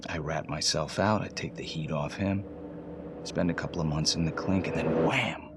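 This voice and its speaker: An actor playing a desperate, volatile condemned prisoner in a cinematic game, with an intense delivery. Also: male voice, extremely low pitch, moderate pace, noisy background. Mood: bitter, confessing. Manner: intense, swings from hushed to shouting. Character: desperate condemned man, pleading, haunted, volatile. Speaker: death-row prisoner. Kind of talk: cinematic video game acting. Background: music.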